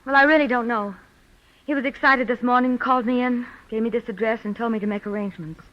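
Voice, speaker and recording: Actress as a poised, coy femme fatale. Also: female voice, fairly high pitch, fast talker, close to an everyday voice. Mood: matter-of-fact. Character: cool, coy femme fatale assistant to a psychic, woman. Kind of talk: 1940s radio acting. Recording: dull old radio recording.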